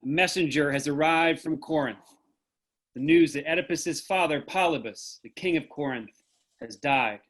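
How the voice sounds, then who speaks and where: Man reading scene notes, a bit high, matter-of-fact, slightly distant. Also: moderate pace, a bit bright. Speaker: director narrating scene notes. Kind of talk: plain scene narration.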